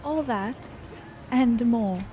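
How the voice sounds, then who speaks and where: A woman voicing a young high elf bard heroine with a soft, poised delivery. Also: drawn-out words, soft background music.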